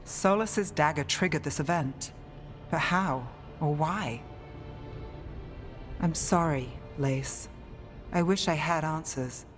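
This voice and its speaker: An actress playing a skeptical, level-headed investigator companion in a video game, analytical and cautious. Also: female voice, sits low, takes it slowly, pauses often. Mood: concerned. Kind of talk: video game cutscene acting. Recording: game dialogue over faint music.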